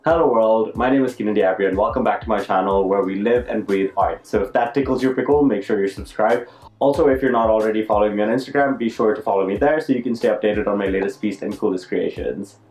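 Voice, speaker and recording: A man's voice: fairly low pitch, fast talker, darkish tone. A young man from Dubai telling his personal story as an art vlogger, casual and informal, often correcting himself mid-sentence. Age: young adult. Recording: roomy echo, music behind.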